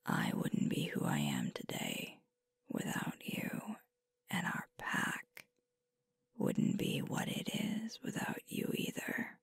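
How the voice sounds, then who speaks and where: A sweet, earnest young female werewolf leader, played by a woman, speaks in intimate ASMR roleplay. The voice is very low and breathy, often near a whisper, and swings widely in pitch. The delivery is tender and hesitant, close on the mic. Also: huge pitch swings, full character voice. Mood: vulnerable, tender. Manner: slow, tender, hesitant, with pauses. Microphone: close microphone, dry.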